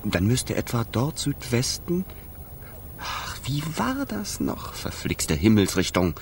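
A man's voice: mid-range voice, huge pitch swings, slow, gravelly, full character voice. An actor playing a boastful, carefree, scatterbrained male wind spirit in a children's radio play. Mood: puzzled muttering. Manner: blustery, singsong bragging, muttering when puzzled.

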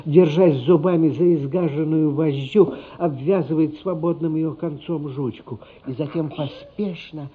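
An actor playing a grave storyteller, narrating a radio play with deliberate, theatrical, suspenseful phrasing. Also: male voice, a little high, lightly acted. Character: grave, dramatic storyteller of a childhood tale. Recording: muffled radio drama recording.

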